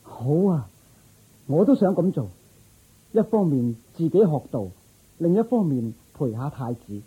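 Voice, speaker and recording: A man's voice: on the high side, sing-song, a little slow, breathy edge, phone-quality sound, exaggerated voice. A man playing a dutiful, agreeable court official in a Cantonese radio drama, earnest and deferential.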